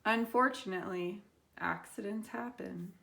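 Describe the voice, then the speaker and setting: A woman, a teacher, reading a children's picture book aloud with expressive, deliberate phrasing and dramatic pauses. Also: mid-range voice, creaky, background noise.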